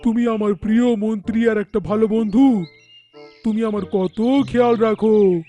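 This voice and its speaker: A man's voice: high voice, steady tone, normal speed, warm tone, lightly acted. A man voicing talking animals in a children's cartoon fable. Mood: pleased, trusting. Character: animal characters of a children's fable: tiger king, elder elephant, young deer. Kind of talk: dubbed cartoon dialogue. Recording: background music under the voice.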